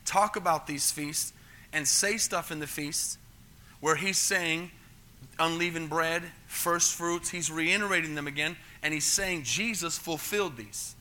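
A middle-aged American pastor with a high, very bright and forceful voice. He preaches in a chatty teaching style, stumbling over repeated words and often asking the congregation whether they follow. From United States. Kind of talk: sermon.

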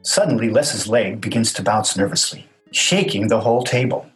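A man's voice: fairly low pitch, moderate pace, rough recording. Male narrator over faint music. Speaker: narrator reading stage directions. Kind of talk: scripted narration.

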